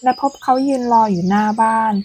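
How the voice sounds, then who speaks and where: A woman's voice: on the high side, a little fast, a little mellow, close to an everyday voice. A woman voicing a curious, sweet little girl who asks polite, childlike questions in a storybook tale.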